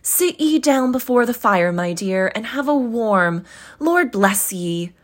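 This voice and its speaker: A woman's voice: high-pitched, very animated, measured pace, fairly bright tone, voice pushed up, full character voice. A woman doing a fussing, fond old-fashioned mother. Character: warm fussing mother, middle-aged woman.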